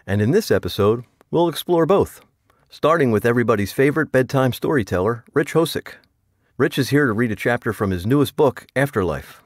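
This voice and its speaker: A man's voice: mid-range voice, expressive, fairly quick, dry recording, clean studio sound. A man hosting a podcast, reading a scripted introduction to the show. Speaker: podcast host.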